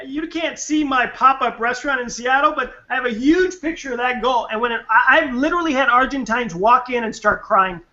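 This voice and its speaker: A man from Nebraska, a guest on an interview show, telling stories in an animated, excitable way. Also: extremely high pitch, brisk, few pauses, dull audio. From Nebraska, USA. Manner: animated, excitable, repeats words. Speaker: guest, venture capitalist and winery owner. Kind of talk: enthusiastic interview storytelling.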